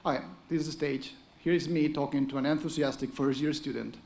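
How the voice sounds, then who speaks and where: Spanish-accented guy, middle-aged, speaking at a podium, voice a bit high with lots of gravel. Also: fairly even intonation, brisk, a little mellow. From Spain. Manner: earnest, encouraging address with occasional dry humor. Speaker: economics professor. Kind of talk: formal ceremonial speech.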